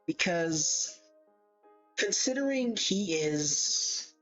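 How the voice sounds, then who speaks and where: A man's voice: high voice, lively intonation, extremely slow, fairly bright tone. A man giving casual livestream commentary, thinking aloud hesitantly and often correcting himself as he goes. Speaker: livestream host. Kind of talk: casual livestream commentary, ranking game characters. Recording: dull lo-fi mic, music behind. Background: background music.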